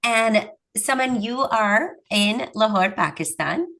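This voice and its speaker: A woman hosts an interview from her home over a video call. She is enthusiastic and effusive, warmly steering the talk. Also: on the high side, lively intonation, takes it slowly, vocal fry. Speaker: podcast host. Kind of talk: interview hosting. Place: home room.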